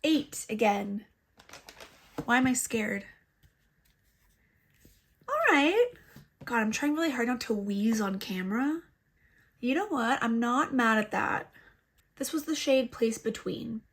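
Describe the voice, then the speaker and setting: Congested woman beauty vlogger, chatty and self-mocking, slightly high voice, laptop mic at home. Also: expressive, slow, long pauses. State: congested, stuffy nose. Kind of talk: casual makeup tutorial chat. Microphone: laptop built-in mic.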